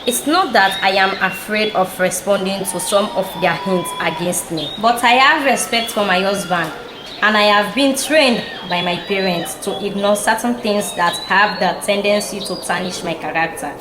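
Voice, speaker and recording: An echoey, noisy audio drama recording carries a troubled, proud young chief's wife, played by a woman. She has a Sierra Leonean accent and speaks to her husband in formal, respectful, measured phrases. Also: mid-range voice, natural voice. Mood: aggrieved, dignified. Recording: echoey room with clear background noise.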